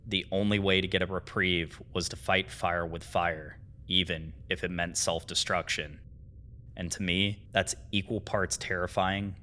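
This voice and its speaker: A man's voice: low-pitched, bright, forceful tone. A man delivers scripted video-essay narration in an earnest, dramatic way with informal asides. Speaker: host of a horror series analysis video.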